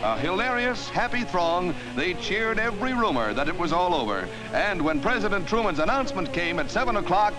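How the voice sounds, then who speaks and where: High-pitched, animated 1940s newsreel man. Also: a little fast, few pauses, poor audio. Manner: exuberant, dramatic announcer delivery. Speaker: newsreel narrator. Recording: old newsreel soundtrack with music.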